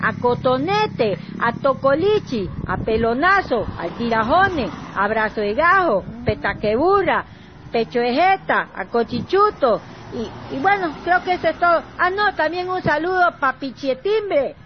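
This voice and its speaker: High lively woman listing greetings. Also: measured pace, highs cut off, slight background noise. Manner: reeling off a list of nicknames.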